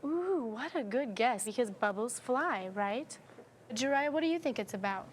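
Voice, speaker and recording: A young woman teacher with a fairly high voice speaks in an expressive, encouraging way. There is faint background noise around her as she instructs. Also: moderate pace. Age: 20s. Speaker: afterschool teacher. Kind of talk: explaining teaching methods and leading a children's read-aloud.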